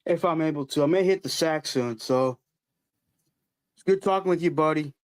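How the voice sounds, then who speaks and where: A man with a fairly high, smooth and clear voice chats as a guest in an easygoing, slightly hesitant way. The sound is dry and close, with no room around it. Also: normal speed, no echo. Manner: easygoing, hesitant, with fillers and restarts. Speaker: guest caller. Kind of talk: casual chat.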